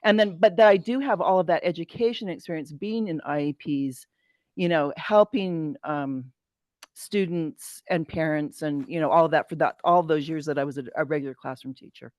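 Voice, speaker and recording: Older woman, fairly low voice, dry sound. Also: normal speed, darkish tone, no room sound. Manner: hesitant, many fillers. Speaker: retired teacher. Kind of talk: online meeting talk.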